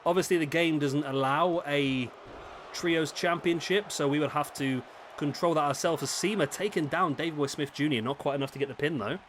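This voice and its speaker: A man with a slightly rough, raspy throat, casually commentating a wrestling video game as the channel host, thinking aloud and correcting himself. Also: on the high side, a little fast, a bit bright, a little hiss or hum. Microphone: headset microphone.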